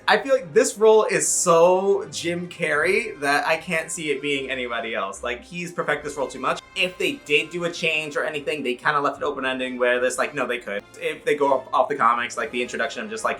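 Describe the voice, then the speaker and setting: A man's voice: medium pitch, pushed and bright. A man around thirty giving a casual, effusive, sassy and comedic review full of slang. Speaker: YouTube movie reviewer.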